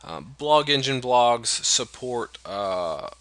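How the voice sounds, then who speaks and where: A man from the United States walking through software settings in a relaxed, explanatory way, with frequent fillers and restarts. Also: mid-range voice, takes it slowly, no echo. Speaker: software tutorial presenter. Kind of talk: screen-recorded software walkthrough.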